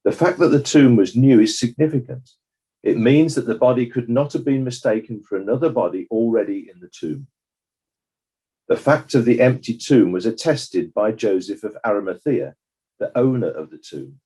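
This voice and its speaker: A man playing a measured, judicious coroner, delivering a formal, deliberate summing-up in a dramatized courtroom inquiry. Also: mid-range voice, warm tone, breathy edge, close to an everyday voice, low-quality recording. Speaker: presiding judge of inquiry.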